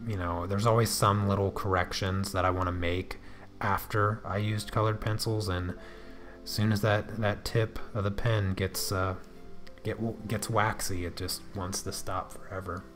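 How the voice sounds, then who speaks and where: A man with a naturally soft, low voice talks casually to viewers while he draws. He hesitates often, repeating words and trailing off before picking the thought back up. Faint music plays quietly underneath. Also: flat delivery, a little slow. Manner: hesitant, many restarts and fillers. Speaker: artist livestreaming while drawing. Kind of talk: casual livestream chat answering viewers.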